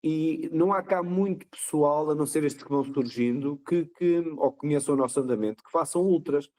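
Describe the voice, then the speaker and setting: Portuguese guy talking quick, slightly high and mellow, stumbles and repeats words. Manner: rapid talk with restarts and repeated words. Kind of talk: casual podcast chat.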